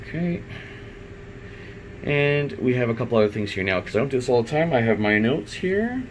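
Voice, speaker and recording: Male tech tutorial presenter, mid-pitched, informal and unscripted, thinking aloud over a faint room hum. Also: very animated, moderate pace. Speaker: IT installer giving a software tutorial. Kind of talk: unscripted step-by-step screen walkthrough.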